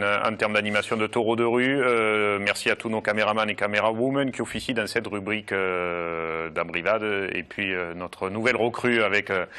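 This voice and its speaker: A man's voice: on the low side, sharp tone. A man presenting a regional TV magazine, speaking with frequent hesitations. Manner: hesitant, many filler sounds. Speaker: TV magazine host. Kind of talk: studio presenting with fillers.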